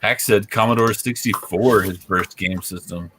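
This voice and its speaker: A man's voice: on the low side, moderate pace, over a noisy crowd. A man in his forties from rural Oklahoma, co-hosting a podcast and telling laid-back stories in casual chat. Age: 40s. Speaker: podcast co-host.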